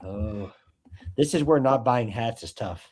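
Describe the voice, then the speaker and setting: Jokey co-host, over-enunciates for comic effect, mid-pitched. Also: male voice, a little slow, darkish tone, breathy edge. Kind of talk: casual sports chat.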